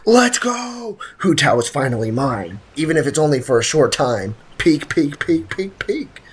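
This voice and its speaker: A man's voice: a little high, a little slow, husky. Hyped young male game streamer. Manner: excitable, joking, trash-talking. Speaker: gaming streamer. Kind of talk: live game commentary, chatting with viewers.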